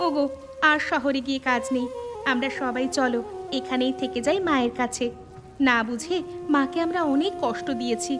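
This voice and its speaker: Woman acting a high-voiced, outspoken daughter-in-law. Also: moderate pace, pauses often, natural voice. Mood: remorseful. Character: outspoken city daughter-in-law in a family quarrel. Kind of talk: cartoon family drama acting. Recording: music behind the voice.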